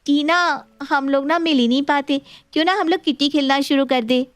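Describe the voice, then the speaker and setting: A woman's voice: high-pitched, normal speed, no room sound, natural voice. A woman playing a naive, earnest young daughter-in-law in a dramatized story. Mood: eager, scheming. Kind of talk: animated story dialogue.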